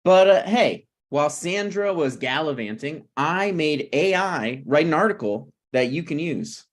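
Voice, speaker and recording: A man's voice: a little high, expressive, unhurried. A man playing a stubborn, snarky broke writer with dry, needling delivery in a comedy table read. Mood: smug.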